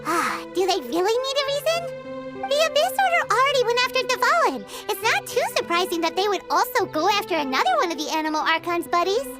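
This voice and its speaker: A woman voicing a tiny, cheerful fairy girl companion in a video game, with a squeaky childlike voice and chirpy, expressive delivery. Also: brisk. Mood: eager. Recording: loud children's game music behind. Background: playful tinkling music.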